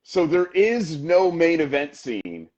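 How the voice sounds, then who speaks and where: A man co-hosting a wrestling podcast in casual chat, emphatic and talking in quick bursts. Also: on the high side, big pitch swings, darkish tone, highs cut off. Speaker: podcast co-host.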